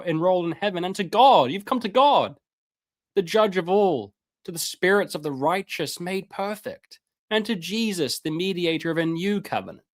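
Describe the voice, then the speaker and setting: A man on a live stream reading Bible passages aloud and commenting on them, with casual asides and his voice going hoarse. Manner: reads scripture aloud then comments, rises in pitch when fervent; casual asides, repeats words, clears throat. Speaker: streamer teaching on scripture.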